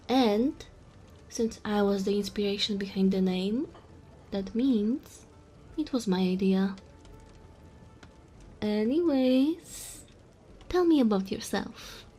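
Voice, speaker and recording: Woman as slow-talking cheeky little goblin barmaid. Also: mid-range voice, warm tone, breathy edge. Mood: cheeky, curious. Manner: teasing, chatty, playful. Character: small cheeky female goblin bartender in fantasy tavern. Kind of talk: fantasy audio roleplay, addressing the listener softly.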